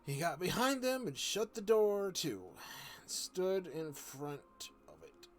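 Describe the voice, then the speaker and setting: A man reading a children's story aloud as a storyteller, in a halting way. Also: high-pitched, big pitch swings. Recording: noticeable background noise.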